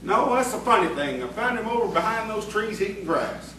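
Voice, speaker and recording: A man's voice: sits high, animated, a little fast, sharp tone. A man playing a deferential, folksy country man in a radio play, hesitant and plain-spoken. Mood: earnest, puzzled. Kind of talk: live radio-play acting. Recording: echoey, distant, noisy live hall recording.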